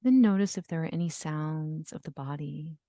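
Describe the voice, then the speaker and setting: Low-voiced woman guiding meditation. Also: dry recording, studio quality. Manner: soothing, gentle instruction with long pauses. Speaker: yoga nidra guide. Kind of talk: guided meditation.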